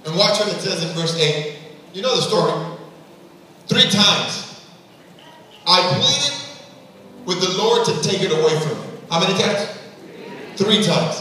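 A male pastor preaching a sermon on a large auditorium stage, emphatic, swinging between hushed and loud, and speaking straight to the church. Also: sits high, expressive, unhurried, pauses often, slight background noise. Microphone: room sound from a far camera. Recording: echoey hall, heard from far off.

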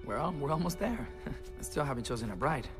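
A man's voice: mid-range voice, animated, brisk, natural voice. Guy doing a young charming prince, cartoon movie, kinda vain but earnest, music behind him. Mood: casual. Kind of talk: animated film voice acting.

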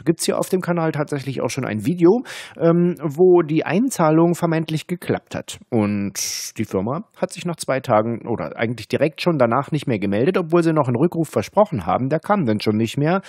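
A man with a husky voice, explaining things to viewers as the host of an online channel. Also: medium pitch, darkish tone, no echo, clean studio sound. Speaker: host of a scam-call channel.

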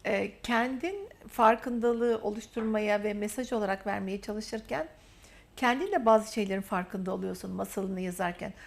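A woman's voice: on the high side, gravelly. A woman hosting a university TV interview, praising her guest in repeated emphatic phrases. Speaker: interview host. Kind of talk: warm, effusive interview talk.

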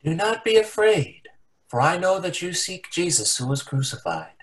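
A man's voice: high voice, very animated, normal speed, a bit bright, low-quality recording. A man playing a reassuring angel proclaiming good news in a dramatic scripture reading. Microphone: video call.

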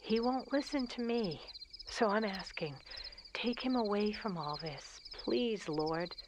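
Worried praying mother, devout, hushed pleading, unhurried pace, radio drama, night insects behind. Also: mid-range voice, animated, noisy background. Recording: loud night crickets behind.

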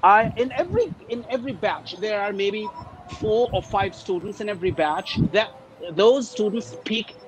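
A man whose first language is Urdu, an ESL teacher speaking over a video call in a halting, hesitant way. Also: high voice, lively intonation, noisy background. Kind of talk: panel discussion. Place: teaching abroad.

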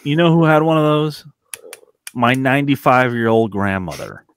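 A man's voice: mid-range voice, expressive, moderate pace, clear, resonant tone. A man in casual joking banter on a video call, blunt and teasing. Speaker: panelist. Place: video call from a room at home.

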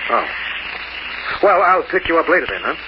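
An actor playing a wry, laconic, hard-boiled crook in an old radio noir drama. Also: male voice, fairly high pitch, very animated, moderate pace. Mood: falsely casual. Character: hard-boiled male crook narrating his failed heist, wry, menacing. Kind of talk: 1940s-50s radio noir narration. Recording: noisy, dull old radio recording.

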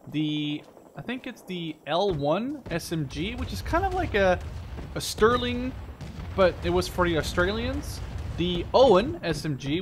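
A man's voice: fairly high pitch, slight background noise. A man giving casual, rambling gameplay commentary, informal and given to mild swearing. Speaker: gamer and video host.

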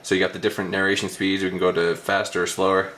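A man's voice: deep voice, little pitch movement, quick pace, fairly bright tone. A man hosting a casual hands-on tech demo, talking indoors in a room. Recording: roomy, echoey indoor recording.

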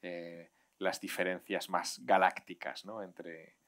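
A deep-voiced man in his forties answers audience questions at an outdoor event. He talks through a headset mic, gesturing as he goes and drifting into side remarks and little confidences. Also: takes it slowly. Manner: animated, hand-gesturing, discursive with asides. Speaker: invited author. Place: outdoor garden stage.

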